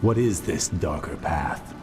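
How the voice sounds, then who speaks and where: An actor playing a proud, troubled young elf prince in a fantasy game, speaking formally and earnestly. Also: male voice, extremely low pitch, expressive, slow, exaggerated voice. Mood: wary gratitude. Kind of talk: fantasy game cutscene dialogue. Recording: dramatic music behind.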